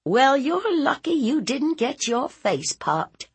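A woman's voice: high-pitched, sing-song, slow, pitched above the performer's own voice, heavily acted. A woman voicing a fierce, blunt, protective aunt in a story, scolding in an emphatic, folksy, interrogating way. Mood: outraged scolding.

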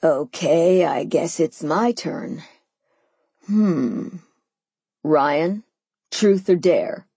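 A woman's voice: sits low, drawn-out words, long pauses, dark tone, breathy edge, dry recording, pitched below the performer's own voice, exaggerated voice. A woman voicing a cocky, playful young man in an audiobook, casual and teasing. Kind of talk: dramatised audiobook dialogue.

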